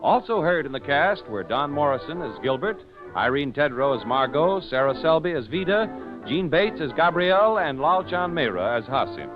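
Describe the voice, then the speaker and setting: A man's voice: a little high, sing-song, moderate pace. An American man announcing a 1940s radio show in a polished, emphatic, scripted sales style. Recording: dull 1940s radio recording with music.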